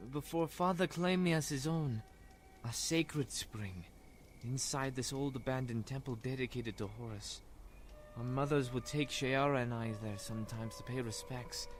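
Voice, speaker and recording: Audio drama role: young Egyptian prince, played by a man, loyal, calmly assured, mid-range male voice. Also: medium pitch, close to an everyday voice.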